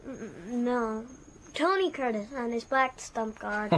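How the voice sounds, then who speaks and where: A woman's voice: a little high, slow pace. A woman playing a frightened young boy, a son in a radio drama. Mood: groggy, subdued.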